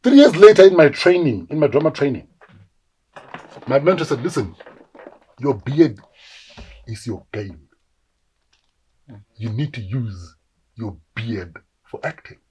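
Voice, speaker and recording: A South African man in his forties, an actor telling animated stories in a casual interview and acting out the voices of people he quotes. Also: mid-range voice, measured pace, pauses often, slight background noise, low-quality recording. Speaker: actor guest.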